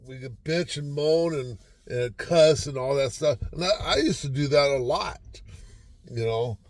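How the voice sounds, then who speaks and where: A man's voice: medium pitch, measured pace, no room sound. An older man giving a casual, rambling motivational talk to camera from inside a parked car, folksy and self-deprecating, often repeating himself. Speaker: vlogger.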